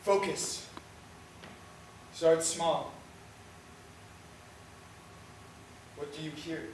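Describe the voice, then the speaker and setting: A man's voice: on the high side, slow, darkish tone. A man acting an elderly, proud Puerto Rican storyteller on stage, speaking in a solemn, declamatory way. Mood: gentle, guiding. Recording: echoey stage, far microphone, faint noise.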